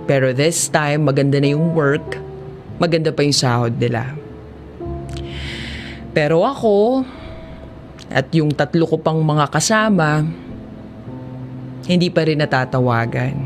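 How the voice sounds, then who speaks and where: A woman with a Filipino accent narrates a first-person life story in a measured, confessional audiobook drama style. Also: sits low, darkish tone. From Philippines.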